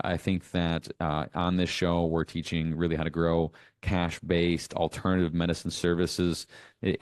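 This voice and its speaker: Deep-voiced man coaching bluntly. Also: darkish tone, no echo, studio quality. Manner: blunt, emphatic, casually profane, often checking with "okay?". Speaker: host of a business coaching show. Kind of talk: informal instructional monologue.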